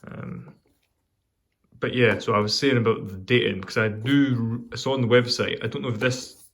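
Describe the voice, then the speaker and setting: A Scottish man chatting casually in a video review, rambling and hesitant with many pauses and ums. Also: medium pitch, fairly even intonation. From Scotland. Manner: pauses while lighting a pipe. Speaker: pipe tobacco reviewer.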